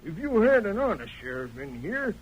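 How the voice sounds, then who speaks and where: An actor playing a corrupt, placating Old West sheriff, with American folksy speech and a wheedling manner, in 1930s radio style. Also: male voice, sits high, very animated, normal speed, slight background noise, low-quality recording. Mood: sly. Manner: wheedling, folksy Western drawl. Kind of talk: 1930s Western radio acting.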